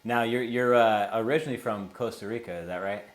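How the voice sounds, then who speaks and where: A man hosting a casual interview, relaxed and brief, calling his guest brother and dude. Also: medium pitch, brisk. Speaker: interview host.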